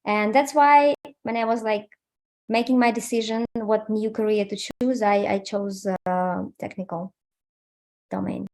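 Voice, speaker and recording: A woman's voice: mid-range voice, steady tone, a little slow, darkish tone. A woman in her thirties from Ukraine, an English speaker, talking in a casual interview over a video call with many fillers. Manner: hesitant, many fillers, plain and candid. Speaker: interview guest, QA automation engineer.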